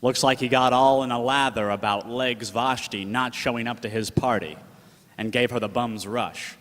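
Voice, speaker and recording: A man's voice: mid-range voice, fairly even intonation, moderate pace, fairly bright tone, lightly acted. A slangy 1940s wise-guy gumshoe, acted by a man, fond and protective, talking in radio-drama style. His mid-range voice rings in an echoey hall. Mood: fond, urging. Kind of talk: 1940s radio drama acting, narration and dialogue.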